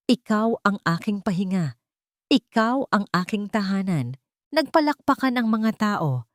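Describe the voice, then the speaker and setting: A woman's voice: on the low side, darkish tone, no room sound, studio quality. A woman reading a scripted romantic story as a dramatic narrator.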